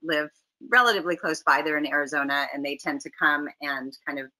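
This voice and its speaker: A woman's voice: low-pitched, fairly quick, pushed and bright, poor audio. A woman in her forties from Canada, chatting easily as a guest on a video call interview, laughing often. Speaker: actress guest. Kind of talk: casual interview chat. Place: home room, video call.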